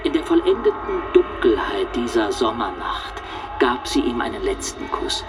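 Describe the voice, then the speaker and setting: An actor playing a solemn, theatrical storyteller narrating a radio play, heard in a distant, radio-like recording. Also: male voice, mid-range voice, very animated, normal speed, over music, close to an everyday voice. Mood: solemn, suspenseful. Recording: distant, noisy radio-like sound.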